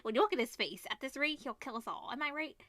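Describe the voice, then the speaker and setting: Woman playing a taunting teenage school bully, bright-voiced. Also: high-pitched, animated, fast talker, dry recording, voice pushed up. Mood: mocking. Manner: taunting, sneering. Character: jeering teenage schoolboy bully, mocking and crude.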